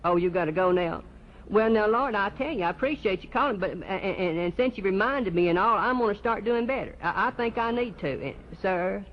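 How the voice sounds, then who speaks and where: A man's voice: high-pitched, fairly quick, lightly acted. A man playing a folksy, sheepish churchgoer in a one-sided comic phone monologue, stammering, repeating himself and eagerly agreeing. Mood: earnest, contrite. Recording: dull lo-fi live recording, loud noise.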